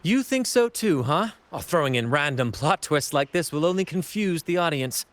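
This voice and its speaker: An actor playing a showy, wry young adventurer in a video game, with a teasing, theatrical way of talking. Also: male voice, fairly high pitch, expressive, moderate pace, natural voice. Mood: coy amusement. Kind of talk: video game character acting.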